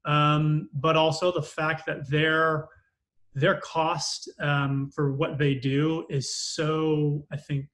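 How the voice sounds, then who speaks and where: Male, slightly high voice, unscripted customer testimonial from a manager, halting delivery with frequent pauses. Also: flat delivery, a bit bright. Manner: hesitant, pausing often mid-sentence. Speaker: customer success operations manager giving a testimonial.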